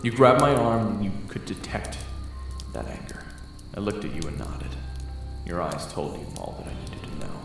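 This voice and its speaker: Low guy narrating a roleplay story, super echoey, music playing under. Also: big pitch swings, moderate pace, vocal fry. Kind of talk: second-person roleplay narration. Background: background music.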